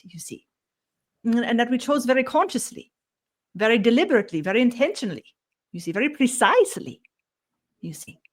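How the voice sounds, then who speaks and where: A woman channeling a spiritual collective in a slow, ceremonious way. She speaks as "we" and ends almost every phrase with "you see". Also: fairly high pitch. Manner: speaks as "we", with slightly odd grammar.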